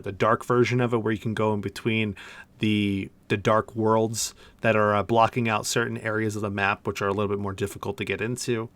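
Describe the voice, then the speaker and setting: A man's voice: mid-range voice, fairly bright tone, no room sound. A man co-hosting a podcast, chatting casually about a video game in loose, searching phrasing with repeated words. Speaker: podcast co-host.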